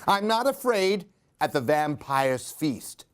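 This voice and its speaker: Slow, high-pitched, animated male storyteller. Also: smooth. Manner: theatrical, singsong rhyming delivery. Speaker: storyteller reading aloud. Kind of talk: expressive children's story reading.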